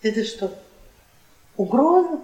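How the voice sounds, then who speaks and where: An actress playing an embittered, seductive middle-aged professor's wife, mocking and domineering in a theatrical radio-play manner. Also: female voice, on the high side, big pitch swings, slow pace, dark tone. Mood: defiant. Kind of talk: Soviet radio theatre acting. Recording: roomy echo, off the mic.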